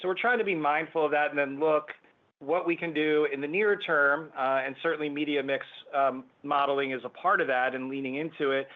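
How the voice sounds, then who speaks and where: A man answering business questions as a company executive on an earnings call, with halting corporate phrasing. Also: fairly high pitch, fairly even intonation. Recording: thin phone-like conference line.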